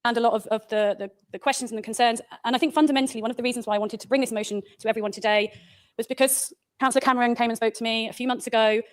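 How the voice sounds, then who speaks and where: A woman's voice: on the high side, steady tone, very fast. A woman giving a political speech as a local councillor.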